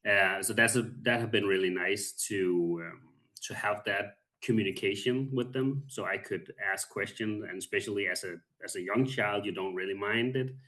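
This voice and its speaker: Danish-accented man, calm and low. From Denmark. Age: 30s. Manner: calm, soft-spoken, halting with many pauses. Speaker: guest. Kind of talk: casual interview.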